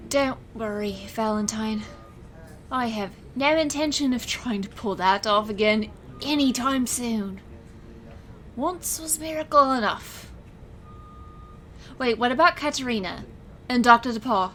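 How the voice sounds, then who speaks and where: Audio drama illusionist, played by a woman: weary yet resolute, wry, slow delivery, fairly high voice. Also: fairly bright tone, slight background noise. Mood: relieved, apologetic. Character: weary, resolute female illusionist and supernatural enforcer. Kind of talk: dramatic audio fiction acting.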